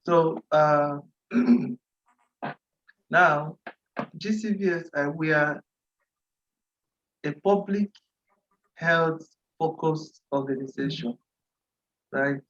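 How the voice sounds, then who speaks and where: Male nonprofit rep from Cameroon, voice sits a bit high, methodical and repetitive delivery. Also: a bit bright, muffled recording. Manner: deliberate, repeats phrases, occasional throat clearing. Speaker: nonprofit representative at a virtual dialogue. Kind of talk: formal presentation. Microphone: video call.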